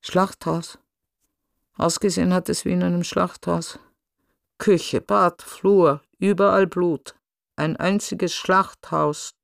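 A shaken, grim witness in a radio play. He speaks slowly with a creaky, gravelly voice, very close and dry. Phrases come out terse and fragmented. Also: high voice, steady tone, dry recording, heavily acted. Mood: horrified, stark. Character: shaken, grim witness recounting a bloody family tragedy. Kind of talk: radio drama narration.

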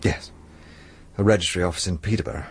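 A man's voice: low-pitched, steady tone, fast talker, slightly rough. An actor playing a wary, educated British man in a radio drama, speaking in a guarded, terse, hesitant way. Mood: subdued, reticent. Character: wary, reserved educated Englishman investigating a sinister scheme.

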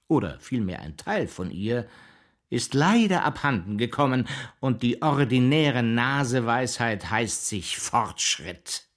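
Pompous, husky scholar with swooping delivery. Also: male voice, mid-range voice. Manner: pompous, rhetorical, declamatory lecturing. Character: mocking, provocative arch-conservative private scholar, a cultural philosopher. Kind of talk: German radio drama acting.

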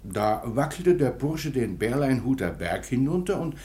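An actor playing an eager, deferential master shoemaker in a radio play. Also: male voice, medium pitch, sing-song, unhurried, mellow. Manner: servile and deferential.

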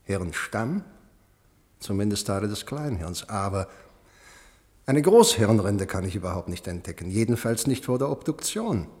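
An actor playing a fascinated, drily clinical doctor in a 1970s radio play, explaining deliberately and musing aloud. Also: male voice, on the low side, big pitch swings, a little mellow. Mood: clinical, puzzled. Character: male doctor, clinical, fascinated, drily matter-of-fact about a body. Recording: long reverberant hall in radio play.

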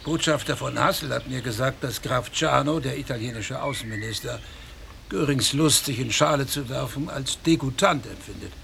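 A man's voice: mid-range voice, moderate pace, creaky, a bit bright, slight background noise. An actor playing a blunt, gossipy male surgeon at a dinner gathering. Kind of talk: radio play acting.

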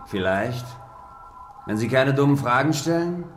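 An actor playing a scrawny, boyish scrapyard mechanic, brusque and suspicious, in a radio drama. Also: male voice, mid-range voice, expressive, a little slow, slight background noise, close to an everyday voice. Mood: wary. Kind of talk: German radio drama acting. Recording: echoey space with music behind.

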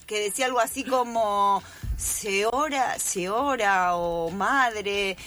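A woman hosting a live radio show, relaxed and chatty, laughing easily and tripping over words now and then. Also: medium pitch, slow pace. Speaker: radio host. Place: radio studio.

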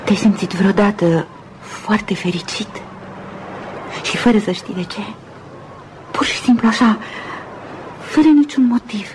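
An actress playing a dreamy, romantic upper-class woman in a Romanian radio drama, speaking softly and musingly with pauses. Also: mid-range voice, animated, a little hiss or hum, lightly acted, rough recording. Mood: wistful, wondering. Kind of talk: Romanian radio theatre acting.

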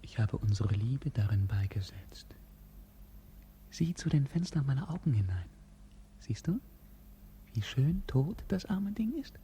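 An actor playing a bored, capricious young prince in a 1950s German radio play, theatrical, poetic and mocking. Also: male voice, medium pitch, big pitch swings, a little slow, long pauses, mellow, a little hiss or hum. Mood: mocking, melancholy.

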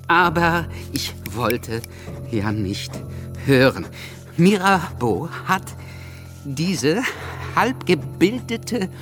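An actor playing a bitter, wounded young revolutionary journalist who stammers through broken phrases in a radio drama. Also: male voice, on the high side, a bit bright, full character voice. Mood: bitter regret. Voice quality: stammering, halting. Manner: broken phrases, sudden stresses.

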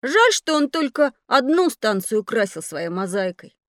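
A woman's voice: fairly high pitch, normal speed, no echo, clean studio sound. A woman playing an eager, inquisitive young boy who likes to show what he knows, in a scripted children's audio drama. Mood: eager, curious. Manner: eager, know-it-all, expressive. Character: bright inquisitive young boy on a metro tour.

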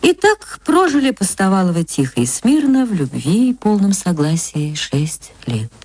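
A woman's voice: medium pitch, sing-song, normal speed, darkish tone, husky, no echo. Woman reading a literary story expressively. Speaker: story reader, actress. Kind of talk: expressive literary narration for radio.

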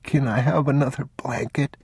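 A man's voice: mid-range voice, fairly even intonation, moderate pace, husky, no room sound, studio quality, voice pushed up. A man voicing a frightened, sick young boy who speaks in a thin, pleading voice. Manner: small pleading voice, thin and quiet.

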